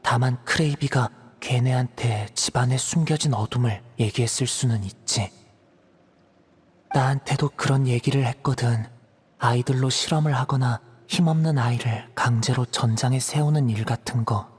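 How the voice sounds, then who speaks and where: A man's voice: medium pitch, normal speed, a little hiss or hum, natural voice. A man voicing a reserved, worried teenage boy in an anime-style game, speaking tentatively and in a subdued way.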